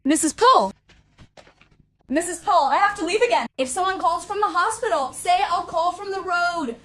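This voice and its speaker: Very high-voiced, hurried woman. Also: background noise. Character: hurried woman calling out instructions before leaving. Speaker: voice actor in a crime audio drama. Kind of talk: crime radio play dialogue.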